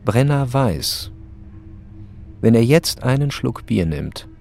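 A man narrating a literary radio drama in a measured, deadpan storytelling manner. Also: mid-range voice, creaky, soft background music.

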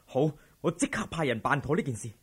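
A man's voice: on the high side, lively intonation, brisk, breathy edge. A man playing a wary, scheming corrupt official in a Cantonese audio drama, anxious and persuasive. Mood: placating, scheming. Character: cautious, scheming corrupt male grain official.

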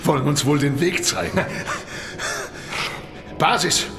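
An actor in a radio drama playing a gruff, foul-mouthed militia searcher, clipped and irritable, with a gravelly voice. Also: male voice, fairly high pitch, slow, over loud music.

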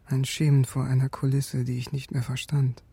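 A haunted, resolute soldier in a radio drama. His voice is mellow and slightly gravelly, with little rise or fall. He recalls things tersely and soberly. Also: medium pitch, monotone, normal speed, dry recording. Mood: bleak, resigned. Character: war-worn male sergeant, haunted, stoic, resolute. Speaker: defendant and narrator. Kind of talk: German radio drama acting, first-person recollection.

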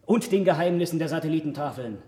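An actor playing a pompous, condescending, learned court philosopher in a radio drama, with ceremonious delivery. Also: male voice, a little high, fast talker, dark tone, close to an everyday voice. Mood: grandiose. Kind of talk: theatrical radio drama acting. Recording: echoey room radio play recording.

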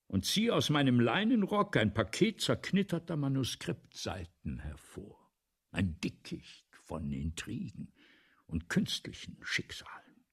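A vain, self-dramatizing male playwright for a radio drama. His gravelly delivery is slow and theatrical, swinging wildly from grand exclamation down to a murmur. He sounds excitable and full of himself. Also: mid-range voice, exaggerated voice. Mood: boastful, confiding. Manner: theatrical, declaiming, abruptly dropping from exclamation to murmur. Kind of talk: German radio play monologue.

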